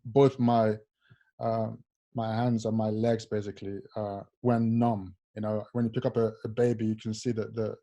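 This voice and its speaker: A young man from Nigeria, a rower, telling his life story in an interview in a soft, halting way with many fillers. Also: medium pitch. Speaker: Paralympic rower, guest. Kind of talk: casual interview, personal story.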